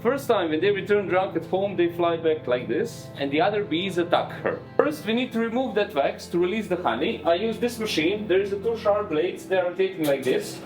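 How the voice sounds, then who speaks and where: A man's voice: sits high, lively intonation, brisk, few pauses, music in the background. A man giving a beekeeping tour to visitors, explaining honey making with playful jokes. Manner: playful, jokes with visitors, slightly non-native phrasing.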